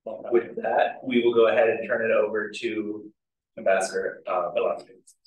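Man moderating a panel, reads formal bios with a few fumbles, somewhat low voice, echoey room. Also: fairly even intonation, moderate pace, narrow, tinny audio. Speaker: event moderator. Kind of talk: reading introductions. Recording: distant, echoey room mic.

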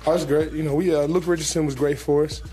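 A young man, a pro football player, answering reporters at a press conference in a relaxed, casual way, with many fillers and repeated words. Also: on the high side, quick pace, low-quality recording. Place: team press room, indoors. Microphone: table mic in front.

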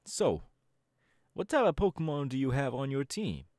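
A man's voice: mid-range voice, big pitch swings, normal speed, darkish tone, dry recording, clean studio sound, close to an everyday voice. A man voicing a cocky young male DJ who leads a gang, boasting and talking straight to the listener in an audio roleplay. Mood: boastful. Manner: boastful, swaggering, talks to the listener. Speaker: Team Star leader in a roleplay.

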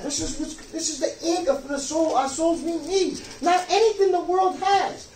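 Older guy pastor preaching, pretty high voice, emphatic, echoey church, mic kinda far off. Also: steady tone, moderate pace, a little mellow. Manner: emphatic, rhetorical questions, check-ins like "okay?" and "you see?". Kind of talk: sermon. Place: church sanctuary, preaching from a wooden pulpit. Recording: roomy distant mic, some background noise.

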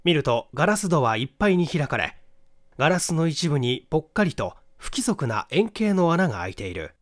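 A man narrates a mystery story like a stage performer, expressive and dramatic. His pitch sits a little high and moves around a great deal. The recording is dry and close, with hardly any room in it. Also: a little fast, no room sound. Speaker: audiobook reader, stage actor.

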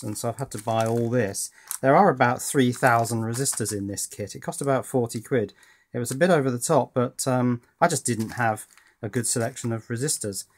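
A man's voice: medium pitch, slight background noise. British man thinking aloud, casual and hesitant. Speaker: electronics hobbyist presenter. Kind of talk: casual hands-on project narration. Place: indoors at a workbench.

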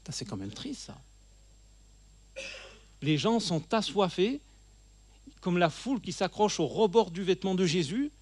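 A man's voice: sits high, big pitch swings, measured pace, pauses often, a little mellow, slightly rough. A middle-aged man speaking to a church audience while seated with a handheld microphone, earnest and emphatic, often repeating phrases for stress. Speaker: guest speaker. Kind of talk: church teaching talk. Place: church hall, seated at a table. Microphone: handheld mic.

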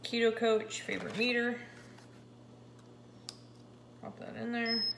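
A woman in her forties chatting casually to camera at home, excitable and a little scratchy-voiced. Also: on the high side, takes it slowly. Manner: chatty, excitable, talks while handling a meter. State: slightly scratchy voice. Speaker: keto vlogger. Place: kitchen at home, indoors. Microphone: camera pointed down at the countertop. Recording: echoey kitchen with clear background noise.

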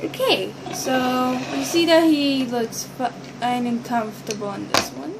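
A woman's voice: sits high, fairly even intonation, takes it slowly. A young teenage girl chatting casually and ramblingly to the camera while showing toys, recorded on a built-in device mic in a bedroom. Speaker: vlogger showing toy builds. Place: small bedroom, indoors. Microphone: built-in device mic at arm's length. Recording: noisy amateur webcam audio.

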